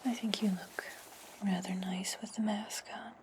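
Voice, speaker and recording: A woman playing a calm, commanding, sly witch in a whispered ASMR fantasy roleplay, instructing her servant slowly and deliberately. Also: medium pitch, a little hiss or hum, lightly acted. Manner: slow, deliberate, hushed instruction.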